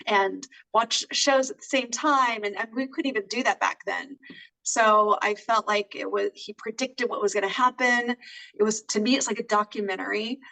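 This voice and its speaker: A woman's voice: fairly high pitch, gravelly, pushed and bright. A woman co-hosting a casual movie review over a remote call, speaking hesitantly with many fillers. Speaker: co-host. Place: in California. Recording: voice distant from the mic.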